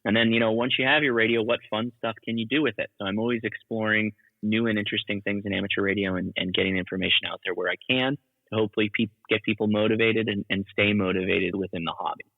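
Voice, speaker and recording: Male interview guest, slightly low-pitched, informal explainer, heard over a tinny phone-like connection. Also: level tone, quick pace, creaky, no room sound. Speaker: guest, ham radio educator. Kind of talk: casual explanatory interview talk. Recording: tinny, phone-like call audio.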